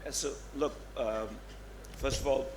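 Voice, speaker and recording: A hoarse man speaking on a conference panel, casual and direct. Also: medium pitch, fairly even intonation, a little slow, slight background noise, low-quality recording. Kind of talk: panel discussion. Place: conference hall.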